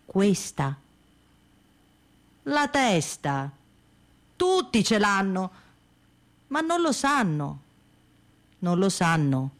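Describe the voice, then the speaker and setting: An obsessive, solemn visionary preacher. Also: female voice, medium pitch, big pitch swings, very slow, long pauses. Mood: fervent, preaching. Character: Evaristo, obsessive visionary preaching a single path of science. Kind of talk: theatrical radio monologue.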